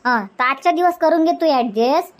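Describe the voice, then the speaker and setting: Cartoon village aunt, acted by a woman: high voice, Varhadi Marathi accent, bossy and hospitable, nags by repeating herself. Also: moderate pace, close to an everyday voice. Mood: firm, scolding. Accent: Varhadi Marathi from Vidarbha. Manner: insistent, repetitive, scolding. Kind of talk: Marathi cartoon comedy dialogue.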